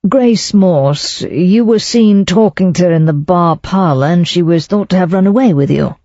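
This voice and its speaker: A reader voicing a calm, measured male chief inspector asking questions. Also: mid-range voice, no room sound. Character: steady, concerned police chief inspector, man. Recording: dull lo-fi, dry.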